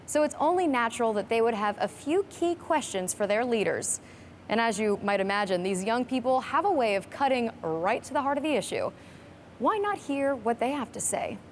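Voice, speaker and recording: Woman presenter, scripted hosting, voice a bit high, noisy ambience behind. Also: expressive, normal speed. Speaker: program host. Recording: clear background noise.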